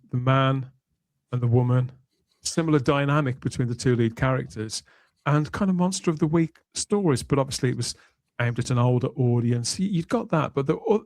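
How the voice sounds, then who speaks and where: A man's voice: mid-range voice, no room sound. A middle-aged man hosting a podcast discussion in a reflective, explanatory way. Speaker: podcast host.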